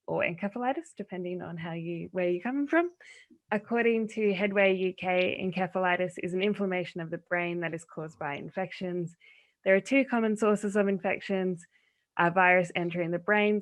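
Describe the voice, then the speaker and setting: A woman hosting a panel discussion on a video call, introducing the guests and moderating in an orderly way. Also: fairly low pitch. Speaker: host.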